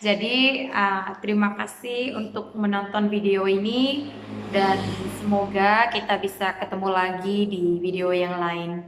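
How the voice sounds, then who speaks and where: A young woman from Cambodia chats to camera, her accent clear as she talks in a language she learned. She often stops and fills the gaps while she looks for words. The room gives her voice a noticeable echo. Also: mid-range voice, steady tone, background noise. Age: young adult. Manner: hesitant, many fillers and pauses. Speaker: vlogger. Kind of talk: casual vlog monologue. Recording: echoey room.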